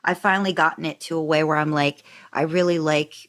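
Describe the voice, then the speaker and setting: A woman with a low-set voice talks as the host of a casual interview. Her phrasing is informal and meandering, as in an everyday conversation. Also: fairly even intonation, a little fast, fairly bright tone. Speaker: podcast host interviewing a guest.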